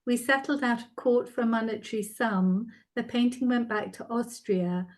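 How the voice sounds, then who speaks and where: A woman's voice: a little high, moderate pace. A woman moderating an online question session, speaking politely and formally with hesitant phrasing as she hosts and reads out comments. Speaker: host and moderator of an online art talk. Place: online video event.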